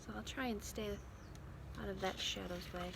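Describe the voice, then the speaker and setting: A woman's voice: mid-range voice, steady tone, moderate pace, vocal fry. A woman teacher talking and reading aloud to young children in simple, questioning language, recorded outdoors on a propped-up phone. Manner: addresses children with simple questions and check-ins. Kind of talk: read-aloud lesson for children. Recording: loud outdoor ambient and vehicle noise.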